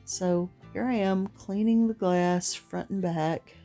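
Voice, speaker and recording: Gravelly, low-voiced woman chatting over crafts. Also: dark tone, soft background music. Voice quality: gruff, gravelly. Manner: chatty, rambling, unhurried. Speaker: craft vlogger. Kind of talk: casual craft tutorial voice-over.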